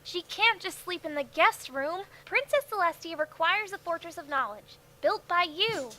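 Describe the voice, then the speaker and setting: A woman's voice: very high-pitched, lively intonation, normal speed, bright, forceful tone, full character voice. A woman voicing cute female cartoon ponies, cheerfully unhinged and bossy, in a parody dub.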